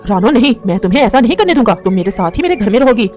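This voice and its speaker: A kind pigeon friend, played by a woman, protective and earnest. The voice is fairly high and fast, in melodramatic cartoon style over music. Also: big pitch swings, darkish tone. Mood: consoling. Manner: consoling, earnest. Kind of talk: melodramatic Hindi cartoon story acting.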